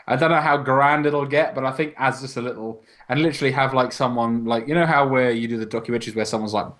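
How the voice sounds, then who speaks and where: A man's voice: mid-range voice, steady tone, fast talker, a bit bright. A man rambling casually and swearing as he banters with friends as a podcast host.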